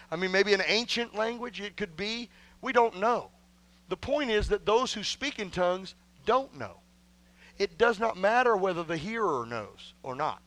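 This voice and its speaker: A man with a drawl preaches from the pulpit in a strikingly high, bright voice. He is emphatic and folksy, swinging from quiet asides up to shouted exclamations. The sound is smooth and close, with almost no room around it. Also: dry recording. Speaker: pastor. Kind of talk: sermon. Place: church sanctuary.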